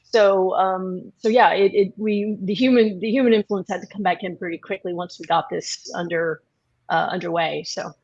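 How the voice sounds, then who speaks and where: A woman's voice: fairly low pitch, fairly even intonation, moderate pace, darkish tone, highs cut off. A woman speaking as a producer on a panel, explaining her work in a conversational way. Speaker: podcast producer.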